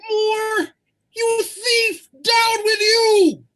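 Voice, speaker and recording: A woman's voice: very high voice, little pitch movement, drawn-out words, full character voice. A woman playing an outraged, imperious noble parent with declamatory delivery in a Shakespearean audio drama. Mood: furious. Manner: declamatory, forceful theatrical delivery.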